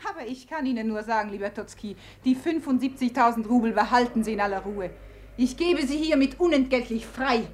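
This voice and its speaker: A woman's voice: on the high side, brisk, slight background noise, close to an everyday voice. An actress in a 1950s German radio play, playing a proud, mocking society woman with an imperious, theatrical delivery. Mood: exalted, commanding.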